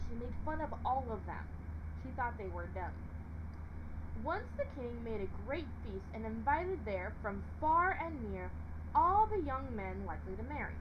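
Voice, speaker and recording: Female storytime reader: high-pitched, very expressive, explains as she goes; noisy lo-fi recording. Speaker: teacher reading stories aloud. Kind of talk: storytime read-aloud with casual asides. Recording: dull, lo-fi, noisy background.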